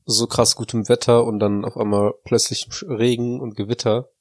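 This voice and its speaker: A man's voice: mid-range voice, level tone, normal speed, darkish tone. A man co-hosting a podcast, talking with a stuffy nose that makes him sound nasal, rambling and joking in casual banter. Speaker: podcast co-host.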